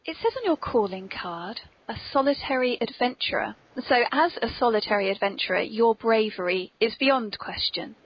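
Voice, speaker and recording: A woman with an English accent hosts a playful podcast interview in a fairly high voice. Her delivery is polite and theatrically expressive. The recording is dull and lo-fi. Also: normal speed, few pauses. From Cornwall, England. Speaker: podcast host interviewing an author.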